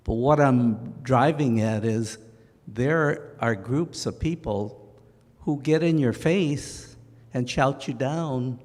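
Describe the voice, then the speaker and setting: Panelist, male, very slow and halting, long church reverb. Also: mid-range voice, darkish tone. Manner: halting, searching for words. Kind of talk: panel discussion. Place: church sanctuary.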